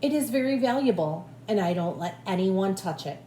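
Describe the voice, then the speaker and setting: A woman voicing a firm old grandmother witch from a storybook, giving slow, emphatic instructions. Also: medium pitch, expressive, warm tone, reverberant, a little hiss or hum, close to an everyday voice. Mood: firm, instructing.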